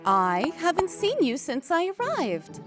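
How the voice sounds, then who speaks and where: A woman's voice: very high-pitched, huge pitch swings, measured pace, over music, full character voice. A woman in a fandub playing a gracious, charming school inspector, polite and coy. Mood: charming, courteous. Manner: polite, coy, sweetly courteous. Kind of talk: fandub voice acting.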